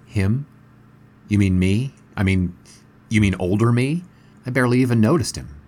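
An actor playing a bewildered 1920s sportswriter, questioning and flustered, in an audio drama. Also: male voice, fairly low pitch, moderate pace, a little mellow, natural voice. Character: bewildered 1920s sportswriter, puzzled living friend.

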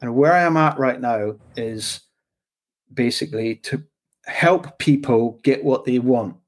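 A man's voice: mid-range voice, a little slow. A man with a Scottish accent who talks loosely in a casual conversation as the host, often asking "do you know what I mean".

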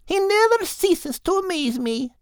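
A man voicing a gentle, affectionate female seagull with lilting, old-fashioned speech in an audiobook. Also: very high voice, very animated, unhurried, no room sound, studio quality, pitched above the performer's own voice, full character voice. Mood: fond. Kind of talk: audiobook character voice.

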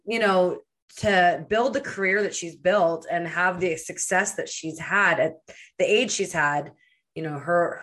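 A woman in her thirties hosting a podcast interview, enthusiastic and rambling, full of fillers. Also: fairly low pitch. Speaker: podcast host.

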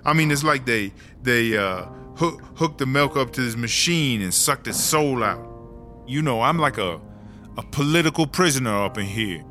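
A man's voice: medium pitch, lively intonation, moderate pace, bright, forceful tone. Man playing a brash streetwise woman prisoner over hip hop. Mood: indignant, boastful. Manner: slangy, indignant, rambling storytelling. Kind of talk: comedic audio drama monologue. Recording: hip hop music behind the voice.

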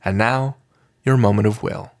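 Creaky-voiced man hosting. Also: fairly low pitch, normal speed. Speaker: podcast host. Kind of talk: scripted narration.

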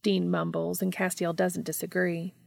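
A woman's voice: on the low side, little pitch movement, normal speed, creaky, a little mellow, no room sound, clean studio sound. A woman reading scripted fiction as an audiobook narrator.

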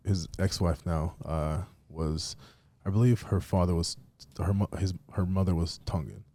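A man hosting a podcast, chatting in a relaxed, casual way with frequent restarts. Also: sits low, normal speed, no room sound. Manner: relaxed, repeats words and restarts. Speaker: podcast host. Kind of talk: casual interview chat.

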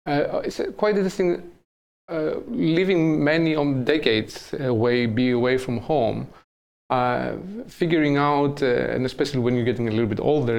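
Middle-aged Greek man, native Greek speaker, gravel in the voice, halting and thoughtful interviewee. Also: mid-range voice. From Greece. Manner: thoughtful, halting, many fillers. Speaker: artist guest. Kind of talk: interview conversation.

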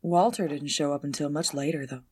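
Woman acting a low, husky, guarded stunt driver. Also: fairly quick, a little mellow. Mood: casually evasive. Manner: polite, hesitant, folksy. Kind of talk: 1920s-set audio drama roleplay.

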